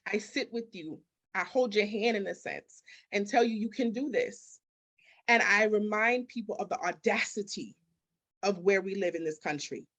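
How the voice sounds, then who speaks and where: A woman's voice: mid-range voice, moderate pace, fairly bright tone. A woman giving motivational, conversational interview answers as a business coach, emphatic, with repetition and rhetorical questions. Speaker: business architect and mindset coach, interview guest.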